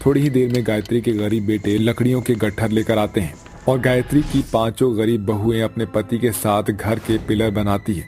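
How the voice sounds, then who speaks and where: Male narrator, lowish pitch, dramatic scripted storytelling, cartoon tale, background music. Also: fairly even intonation, normal speed, mellow. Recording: music behind the voice.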